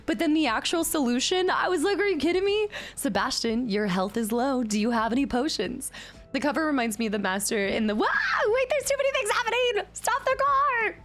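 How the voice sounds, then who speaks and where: A young adult woman streaming, chatting casually with her viewers and reading their names and gifts aloud. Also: a little high. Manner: animated, chatty, reads chat names aloud. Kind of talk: casual chat with live chat.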